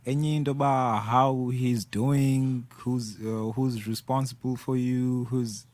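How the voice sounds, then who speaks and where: A man speaking as a guest in a casual talk, laid-back and halting, mixing in isiXhosa. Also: mid-range voice, level tone, a little mellow, dry recording. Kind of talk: casual conversation.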